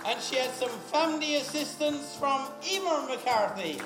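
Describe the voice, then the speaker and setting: A man's voice: very high voice, expressive, normal speed. An Irish man presenting at a live event, calling out names with emphatic, ceremonial build-up. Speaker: presenter announcing award recipients at an event. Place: large echoey event hall. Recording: echoey hall PA, loud music and crowd. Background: loud music and crowd noise.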